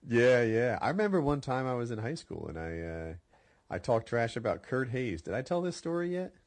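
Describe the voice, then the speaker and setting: A man's voice: medium pitch, big pitch swings, normal speed, no room sound. A man hosting a podcast, chatting casually and telling rambling, conversational stories. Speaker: podcast host. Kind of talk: casual chat and storytelling.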